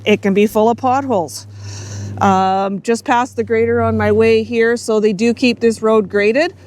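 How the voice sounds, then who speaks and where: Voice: steady tone, unhurried, darkish tone. A middle-aged Canadian vlogger narrating casually and candidly, thinking aloud with a wry, rambling manner. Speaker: outdoor travel vlogger. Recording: noisy, vehicle and outdoor rumble.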